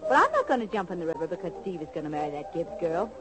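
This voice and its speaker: Female, sly young vamp; teasing, brassy, very lively pitch. Vintage radio drama, music present. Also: racing, low-quality recording. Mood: brassy, determined. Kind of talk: 1940s radio soap opera acting. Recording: old radio recording, organ music behind.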